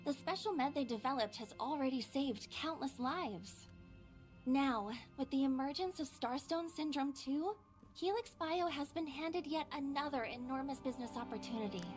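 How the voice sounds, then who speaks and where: A woman's voice: high-pitched, normal speed, close to an everyday voice. A young woman acting a sardonic, morally torn doctor in a video game, telling her story in an articulate, theatrical way. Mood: grim explaining. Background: music.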